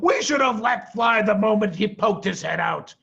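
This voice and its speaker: A man's voice: high voice, lively intonation, normal speed, gravelly, a bit bright, shouting, muffled recording, pitched above the performer's own voice, exaggerated voice. A man voicing a blustering, hot-tempered farmer in a children's storybook reading. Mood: furious. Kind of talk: children's storybook read aloud.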